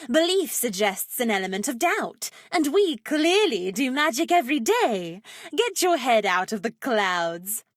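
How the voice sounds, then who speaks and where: Sultry, higher-pitched witch teacher. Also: dry recording, clean studio sound. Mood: mysterious, teasing. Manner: sultry, arch, teasing, mock-formal. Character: flirtatious, macabre witch teacher with a playful dark streak. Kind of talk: theatrical video game character voice acting.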